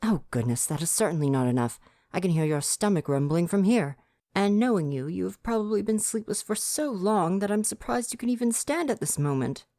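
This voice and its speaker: A man's voice: high voice, lively intonation, fairly quick, dark tone, no echo, studio quality. A man playing a prim, devoted royal butler with formal, fretful diction in an ASMR roleplay. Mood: fussing, concerned.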